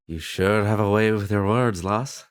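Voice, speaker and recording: A man's voice: lowish voice, moderate pace, clear, resonant tone, natural voice. A man playing a friendly, cautious young working-class fellow with an Irish accent in an audio drama. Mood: teasing caution.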